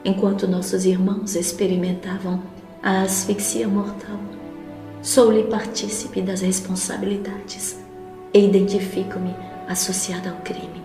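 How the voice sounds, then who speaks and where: Lady acting as a sorrowful humble wife praying, slow solemn pleading, audio drama, echoey with loud music behind. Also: mid-range voice, little pitch movement, natural voice. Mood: sorrowful supplication. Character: humble grieving wife spirit, praying in tearful supplication. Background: prominent background music.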